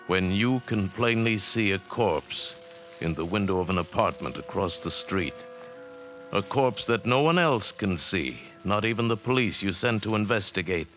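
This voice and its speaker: Low, dramatic vintage radio announcer. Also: male voice, lively intonation. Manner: measured, dramatic. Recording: vintage radio broadcast over music.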